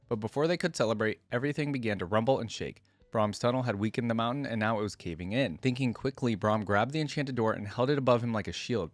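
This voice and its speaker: A man with a fairly low voice gives casual reaction commentary and reads lore aloud. His delivery is informal, as if thinking out loud, and the sound is dry and close. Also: no room sound. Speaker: reaction video host.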